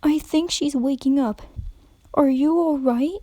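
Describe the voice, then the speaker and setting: Gentle pony medic voiced by a woman, slow soft reassurance, mellow tone. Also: high voice, no room sound, studio quality, pitched above the performer's own voice. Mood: concerned.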